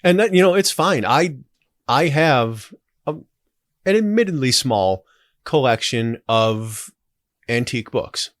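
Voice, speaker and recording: A man's voice: medium pitch, animated, slow pace, long pauses, no echo. Reflective man in casual conversation, dry sound. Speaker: podcast guest.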